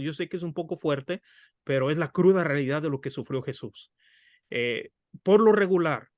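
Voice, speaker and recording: Earnest Mexican preacher, dry close sound. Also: male voice, a little high, no room sound. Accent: Mexican Spanish. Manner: earnest, conversational, addresses listeners as brothers. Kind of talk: Bible study sermon.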